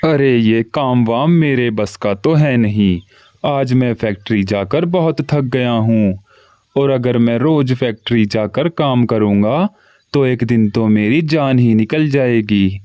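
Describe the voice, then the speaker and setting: Cartoon crow son, greedy lazy, low mellow slow voice done by a man, dry mic. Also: no room sound, close to an everyday voice. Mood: scheming. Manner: whiny pleading, scheming. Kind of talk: cartoon voice acting.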